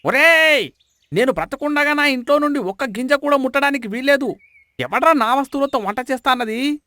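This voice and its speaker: A man's voice: extremely high pitch, brisk, pushed and bright, no room sound. A man voicing an indignant, easily frightened village miser in a dramatised storybook tale. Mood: furious.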